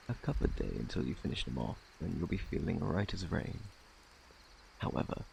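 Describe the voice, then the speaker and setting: A man with a British accent playing a courteous, scholarly plague doctor in a soft-spoken ASMR roleplay, formal and gently reassuring. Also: a little hiss or hum, exaggerated voice, low-quality recording. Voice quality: very deep, creaky, hushed. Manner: formal, gentle, reassuring, old-fashioned wording. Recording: faint night insect ambience.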